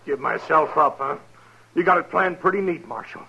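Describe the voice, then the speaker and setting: A distrustful young-army-type runaway, tense sharp delivery, dull old western radio recording. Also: male voice, a little high, lively intonation, gravelly, slightly rough, full character voice. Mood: bitter, agitated. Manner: distrustful, halting, intense. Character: wary, agitated army deserter soldier. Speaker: radio drama actor. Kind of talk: 1950s radio western acting.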